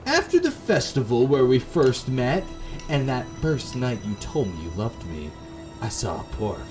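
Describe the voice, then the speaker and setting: A man's voice: mid-range voice, big pitch swings, unhurried, darkish tone, faint music behind. A man voicing an obsessive, unstable young woman in love, dramatic and clingy, in a gothic horror visual novel. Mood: wistful, accusing.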